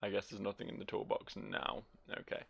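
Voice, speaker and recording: Creaky-voiced man doing joking game commentary. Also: on the low side, steady tone, fairly quick, fairly bright tone. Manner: rambling, teasing, talks to the game character. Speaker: gaming video host. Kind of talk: casual, joking let's play commentary.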